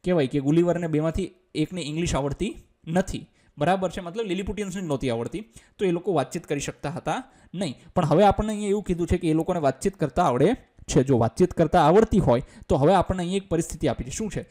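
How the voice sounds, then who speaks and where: A man's voice: on the high side, fast talker, dark tone. A man with a Gujarati accent teaching a school English lesson, explaining step by step in a colloquial way and often answering his own questions.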